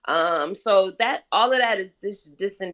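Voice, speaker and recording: A woman talking casually as a panelist, explaining her reasoning in a measured way. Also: medium pitch, a bit bright. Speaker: discussion panelist. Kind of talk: casual discussion.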